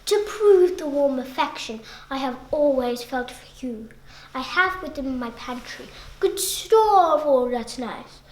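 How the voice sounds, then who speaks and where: Sly spider for a storybook poem, played by a young girl: hushed, coaxing, flattering, in a roomy echo. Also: sits high, measured pace, a little hiss or hum. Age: child. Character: sly, cunning, flattering male spider luring prey.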